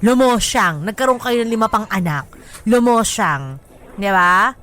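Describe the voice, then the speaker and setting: A woman's voice: medium pitch, expressive, unhurried, fairly bright tone, soft background music. A woman with a Filipino accent bantering as a radio DJ host, brash, teasing and slangy.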